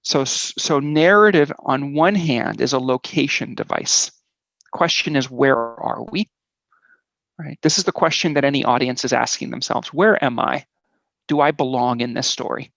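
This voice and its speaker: A man's voice: medium pitch, vocal fry, fairly bright tone, no echo, dull audio. A man in his forties, raised in Switzerland, telling his personal story in a conversational talk, with many "um"s and a tag of "right?". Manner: conversational, frequent "right?" and "um" fillers. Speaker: guest speaker.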